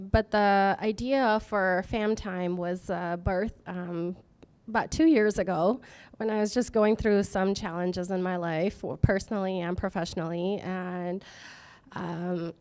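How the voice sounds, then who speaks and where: Smooth-voiced woman founder, earnest. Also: mid-range voice. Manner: earnest, halting with many fillers. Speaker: startup founder. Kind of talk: personal story at a talk. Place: office event space with audience.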